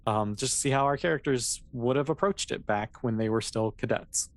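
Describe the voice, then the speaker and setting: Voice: medium pitch, normal speed, no echo. An American game master from Florida chatting casually and informally while hosting and explaining a tabletop game. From Florida, United States.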